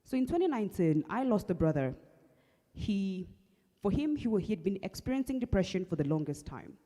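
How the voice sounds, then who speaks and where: A young Kenyan woman with a husky voice, earnestly telling her personal story at an awareness event, with halting restarts. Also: medium pitch, sing-song, mellow. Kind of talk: personal testimony talk. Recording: long hall reverb.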